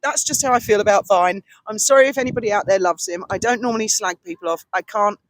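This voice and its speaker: A woman's voice: medium pitch, fast. A British woman co-hosts a casual vlog with a big, carrying voice. She is blunt and opinionated in the way she talks. The recording is dry, with hardly any echo. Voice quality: loud, carrying voice. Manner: blunt, outspoken. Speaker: vlog co-host. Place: outdoors on a boat deck at a marina, breezy evening. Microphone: cheap handheld microphone passed between speakers.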